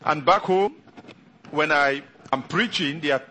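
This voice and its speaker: A middle-aged man from Africa whose first language is French, preaching a sermon as a visiting pastor, talking quickly with many fillers and restarts. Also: on the high side, pushed and bright, a little hiss or hum. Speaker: guest pastor.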